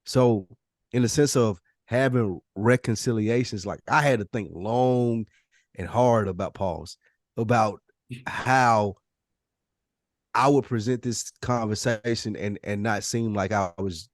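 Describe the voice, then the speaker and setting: A man's voice: lowish voice, a little slow, no echo, clean studio sound. A man chatting casually on a podcast, blunt and often restarting his phrases as he explains himself. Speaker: podcast panelist. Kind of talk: casual chat.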